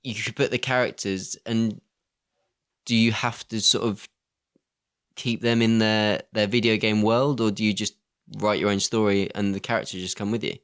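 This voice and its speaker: A man's voice: fairly low pitch, fairly even intonation, normal speed, a bit bright. A man co-hosting a podcast in casual film chat, conversationally posing questions and giving his opinions. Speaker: podcast co-host.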